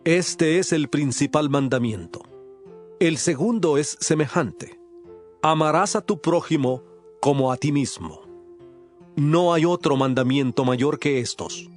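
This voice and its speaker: A man's voice: a little high, measured pace, soft background music, natural voice. A man playing an authoritative religious teacher in a dramatized scripture audiobook, speaking in a solemn, emphatic, declamatory way.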